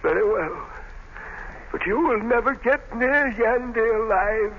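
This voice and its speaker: An actor playing a fearful, resigned man in a villain's prison, halting and full of warning, in 1930s radio drama. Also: high-pitched. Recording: tinny, noisy 1930s radio recording.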